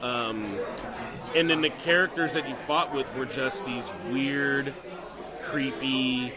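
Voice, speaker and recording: A man's voice: medium pitch, steady tone, takes it slowly. A man chatting casually on a podcast, describing things in a loose conversational way. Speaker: podcast co-host. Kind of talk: casual chat, describing video games. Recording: busy crowd noise around the voice.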